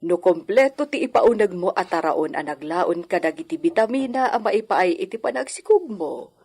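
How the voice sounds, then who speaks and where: A woman's voice: fairly low pitch, animated, moderate pace, a little mellow, slightly rough. An actress playing a caring, bossy village midwife in a radio drama, admonishing and motherly, with a Filipino accent. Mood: scolding concern. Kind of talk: Ilocano radio drama acting.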